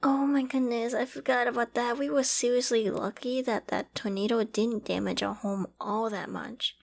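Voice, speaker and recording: A woman acting a clingy, secretly obsessive young apocalypse survivor in a dramatic ASMR audio roleplay, with an emotional, halting, stammering delivery. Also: fairly high pitch, fairly even intonation, vocal fry. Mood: nostalgic, lighter. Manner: emotional, halting and stammering, often dropping to whispers and sighs.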